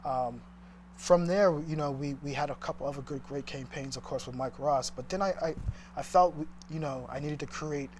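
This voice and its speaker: A man appearing as a guest entrepreneur, explaining his work in halting, hesitant phrases. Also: a little high, a little mellow, slight background noise. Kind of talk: interview, casual explanation.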